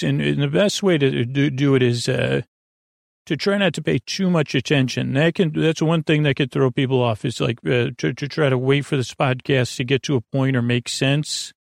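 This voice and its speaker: A man with a creaky voice hosting a sleep podcast, giving a soothing, rambling monologue that hesitates and drifts into tangents. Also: medium pitch, dry recording, clean studio sound. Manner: meandering, hesitant, trailing off into tangents. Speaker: podcast host.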